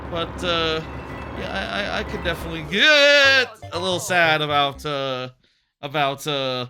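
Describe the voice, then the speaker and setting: A man casually chatting while streaming a game, rambling with lots of fillers, a bit sniffly with a sore throat. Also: a little high. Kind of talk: casual gameplay commentary. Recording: game music playing behind. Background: game music.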